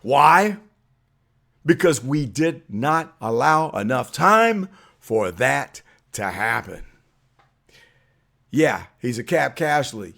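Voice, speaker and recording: A hoarse man hosting a sports vlog, arguing his point with emphatic, call-out energy. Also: on the high side, fairly bright tone. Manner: emphatic, preachy, calls people out. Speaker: channel host. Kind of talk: fired-up sports opinion vlog.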